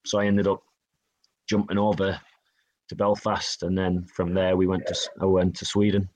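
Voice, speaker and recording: Low-voiced man, chatty. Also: level tone, normal speed, darkish tone, low-quality recording. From Northeast England. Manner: chatty, self-deprecating, quotes others in dialogue. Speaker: guest, hockey player. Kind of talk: casual interview storytelling.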